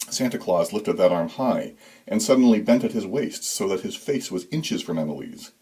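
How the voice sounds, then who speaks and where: A man narrates a story in a fairly low voice. His delivery is deliberate and dramatic, with expressive emphasis that builds suspense. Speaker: author reading own story.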